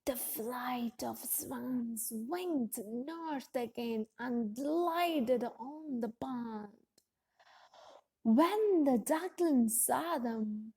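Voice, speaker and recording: A woman tells a children's story at a very slow, deliberate pace. Her fairly high voice has a creaky, husky grain, and her delivery is expressive. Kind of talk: scripted children's story narration.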